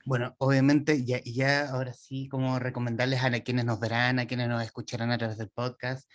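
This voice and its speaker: A man hosts a podcast interview, and his voice sits at a medium pitch. He sounds eager, and his questions come out in stumbling bursts. He repeats himself and restarts often. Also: flat delivery, moderate pace. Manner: enthusiastic, hesitant with stutters. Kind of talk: casual interview.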